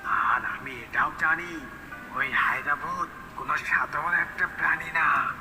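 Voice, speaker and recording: A man voicing a wise old forest mystic in a cartoon, explaining with deliberate authority. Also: a little high, vocal fry, music in the background, poor audio. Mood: knowing. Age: older. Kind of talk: cartoon character voice acting.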